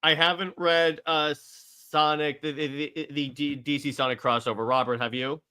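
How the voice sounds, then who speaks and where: A man's voice: on the high side, level tone, normal speed, sharp tone, clean tone. A man co-hosting a casual fan chat and fielding questions, agreeable and given to repeating himself for emphasis. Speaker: co-host fielding audience questions.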